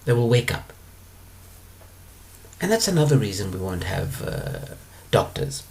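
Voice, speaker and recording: Calm man giving an animated spiritual lecture. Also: on the low side, creaky. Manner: calm, explanatory, with drawn-out pauses. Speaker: spiritual teacher answering questions. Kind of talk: monologue lecture.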